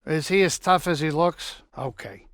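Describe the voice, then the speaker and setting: A man voicing a gruff but caring small alien saloon keeper in a video game, with a blustery, folksy, wisecracking delivery. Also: a little high, moderate pace, fairly bright tone, no echo, clean studio sound. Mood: warm, wry. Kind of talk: video game character dialogue.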